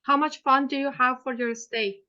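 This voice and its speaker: A woman questions a client in a mock hearing, pausing often and restarting her sentences. Her voice sits fairly high. The recording is dull and muffled. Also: fairly even intonation, sharp tone. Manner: hesitant, many fillers and restarts. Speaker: student playing counsel in a mock hearing.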